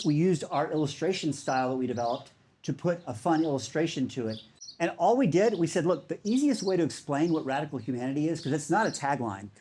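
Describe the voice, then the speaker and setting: A man's voice: a little high, darkish tone, slight background noise. A man giving a conference talk in a casual, enthusiastic way, with many fillers. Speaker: speaker.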